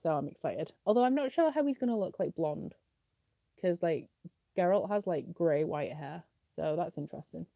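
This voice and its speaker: A woman's voice: fairly low pitch, expressive, normal speed, gravelly, dry recording, dull audio. A young woman with a British accent, sniffly with a slight cough, chatting casually as she answers viewer questions in a live stream, with lots of "like" fillers. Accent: British English.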